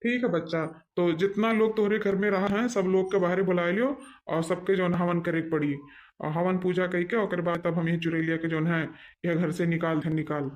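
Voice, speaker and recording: Man as a cartoon village holy man, high male voice, Awadhi accent, fatherly, commanding, assured. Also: fairly even intonation, normal speed, lightly acted. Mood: assured, instructing. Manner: calling others child, instructing. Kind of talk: amateur cartoon comedy voice acting.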